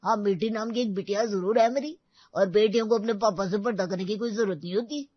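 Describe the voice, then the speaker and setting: A woman's voice: mid-range voice, fast, dry recording, lo-fi sound, lightly acted. Woman putting on a doting older father-in-law. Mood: mock puzzled affection.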